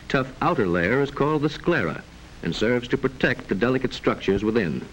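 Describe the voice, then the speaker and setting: 1940s educational film narrator, man, expressive intonation. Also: medium pitch. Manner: formal, measured, didactic. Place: voice-over narration recording. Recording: noisy vintage 1940s film soundtrack.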